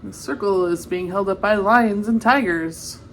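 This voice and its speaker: A woman's voice: medium pitch, measured pace, slight background noise. A woman reading a children's picture book aloud expressively, with chatty asides and exclamations of wonder. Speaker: read-aloud storyteller.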